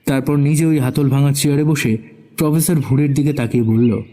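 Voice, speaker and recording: A man's voice: medium pitch, steady tone, brisk, heavy background noise. A man giving dramatic scripted narration of a horror story. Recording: background music under the voice.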